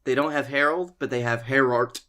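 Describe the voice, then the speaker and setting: A man's voice: medium pitch, steady tone, fairly quick, bright, forceful tone. A man co-hosting a comedy podcast, chatting casually and reacting to his partner in short remarks.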